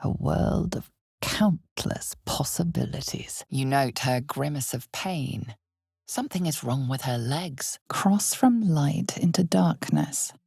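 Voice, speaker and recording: A grave, all-knowing fantasy game narrator in a low, husky voice with a creaky edge. The delivery is deliberate and ominous, breathy and often close to a whisper, like dark storytelling told to the listener directly. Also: female voice, expressive, dry recording, heavily acted. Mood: ominous, hushed. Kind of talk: scripted dramatic second-person fantasy narration.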